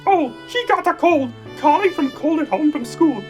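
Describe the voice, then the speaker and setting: A woman's voice: sits high, expressive, normal speed, faint music behind. A woman voicing a gushing, fussy pig wife and mother in a comic radio play, sugary and chirpy. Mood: sweetly fussing. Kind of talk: comic puppet-character radio play acting.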